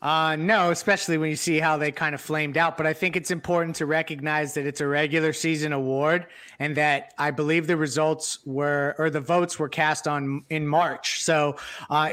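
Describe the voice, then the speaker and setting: A man with a fairly high, bright and forceful voice talks sports. His delivery is casual, like a panelist chatting on a show. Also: little pitch movement, moderate pace.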